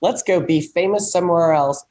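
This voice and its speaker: A woman's voice: fairly low pitch, normal speed, a little mellow. An American woman giving opinions in a casual panel chat, with a blunt, salty and folksy way of talking.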